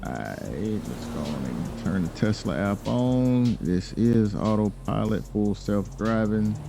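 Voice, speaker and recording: Very deep-voiced American man, laid-back. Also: lively intonation, slow pace, gravelly, over music. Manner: slangy, laid-back, rambling. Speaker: gaming YouTuber hosting a roleplay video. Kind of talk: casual chatty gameplay commentary.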